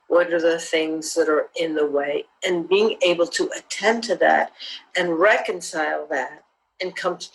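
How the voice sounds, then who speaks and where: A woman and self-defense teacher, speaking as a guest in an online interview in an earnest, measured, motivational way. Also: fairly low pitch, slight background noise, low-quality recording.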